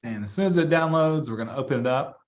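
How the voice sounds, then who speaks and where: A man with a mid-pitched voice leads an informal training walkthrough. He talks in a chatty, casual way, thinking out loud as he moves through each step. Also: quick pace. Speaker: real estate trainer. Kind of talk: casual live software walkthrough.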